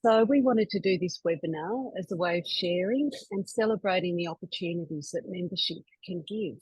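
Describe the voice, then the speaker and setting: A woman's voice: fairly low pitch, a little fast, a little mellow, breathy edge, no echo, dull audio. A woman hosting an online members' webinar over a video call, chatty and loose, full of fillers and restarts. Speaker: webinar host.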